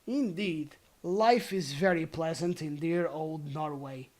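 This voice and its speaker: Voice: medium pitch, slow pace, darkish tone. A storybook reader voicing a jovial, boastful old male goblin patriarch. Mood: merry, expansive. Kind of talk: storybook character voice.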